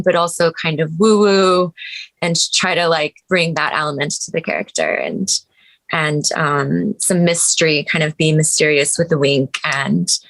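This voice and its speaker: A woman speaks slowly and in a fairly low register, musing tentatively through a casual panel answer. Her sound is very dry and close, with little room on it. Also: fairly bright tone, no echo, low-quality recording. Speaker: actor on a panel. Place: online video call.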